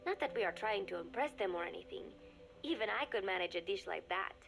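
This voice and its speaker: An actress voicing an earnest young woman reading her diary aloud in a video game, calm and reflective. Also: medium pitch, fast, creaky, over music. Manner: calm, reflective, softly spoken. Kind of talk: game audio diary narration.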